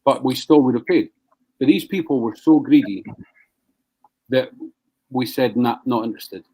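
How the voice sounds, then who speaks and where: A man hosting a live online show, ranting in a blunt and combative way with plenty of swearing. His pitch sits in a plain middle range. He talks like a small business owner venting to his viewers. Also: normal speed, warm tone, husky, rough recording. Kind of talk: profane ranting on a live stream.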